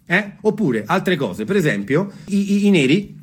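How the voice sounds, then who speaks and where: A man's voice: high voice, very animated, a little slow, breathy edge. A man telling a story theatrically and off the cuff, emphatic, repeating phrases and putting questions to his listeners. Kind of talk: theatrical spoken storytelling, semi-improvised.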